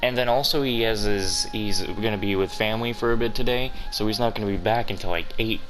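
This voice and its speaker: A man's voice: on the low side, brisk, fairly bright tone, low-quality recording. A man giving casual, joking, rambling commentary over a video game playthrough. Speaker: gaming streamer. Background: game music.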